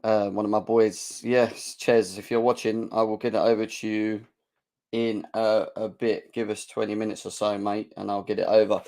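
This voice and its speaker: A young man, his voice hoarse and croaky, hosting a live football fan show and chatting energetically while clearing his throat. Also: on the low side, little pitch movement, moderate pace, a little mellow. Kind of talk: live-stream chat.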